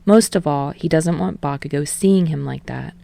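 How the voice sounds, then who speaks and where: A woman narrates a story in a scripted audiobook style. Her voice is low with noticeable vocal fry, and the sound is very dry with no room to it. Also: moderate pace, dark tone, dry recording. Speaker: audiobook narrator of fan fiction.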